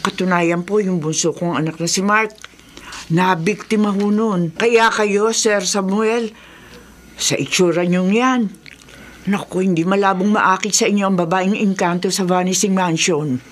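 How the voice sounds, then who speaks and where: A superstitious middle-aged neighbourhood woman acted by a woman in a horror radio play. She speaks at a middling pitch, earnest and deferential, like someone passing on gossip. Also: steady tone, measured pace, lightly acted. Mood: earnest warning. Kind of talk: horror radio drama acting.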